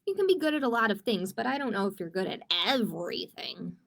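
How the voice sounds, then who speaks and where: A woman's voice: a little high, big pitch swings, quick pace, gravelly. A woman hosting a livestreamed children's storytime as a librarian, reading aloud expressively and chatting to young viewers with questions.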